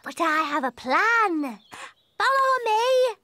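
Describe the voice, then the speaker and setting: A very high, swooping little-girl voice for a bossy cartoon princess. She is excitable and emphatic, drawing her words out slowly over music. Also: dry recording, exaggerated voice. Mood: cheerful. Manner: exclaiming, childlike, emphatic. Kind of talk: children's cartoon acting. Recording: music behind the voice.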